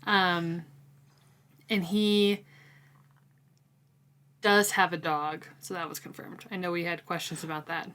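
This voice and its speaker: A woman with a fairly low voice, chatting easily with a friend about a novel. She talks in a relaxed, conversational way. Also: slow, pauses often. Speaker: podcast co-host. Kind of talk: casual book discussion.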